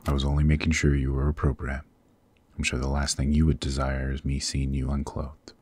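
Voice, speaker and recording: An extremely low, husky demon king, acted by a man for an ASMR roleplay. He is commanding yet tender, protective and possessive, speaking formally and up close in feel. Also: monotone, a little fast, darkish tone, no echo. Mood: commanding, caring. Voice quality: husky, very deep. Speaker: voice actor.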